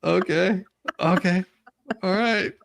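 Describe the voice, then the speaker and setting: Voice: mid-range voice, slow, vocal fry, dry recording. A livestream host switching between a dramatic deposition read-through and informal, jokey chat.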